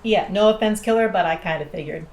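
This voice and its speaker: A woman's voice: mid-range voice, quick pace, darkish tone, reverberant, natural voice. A woman playing a cocky, sardonic pilot in an audio drama, with a dry, blunt, teasing delivery. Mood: wry, probing. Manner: dry, sarcastic, blunt, foul-mouthed teasing. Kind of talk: naturalistic audio drama dialogue.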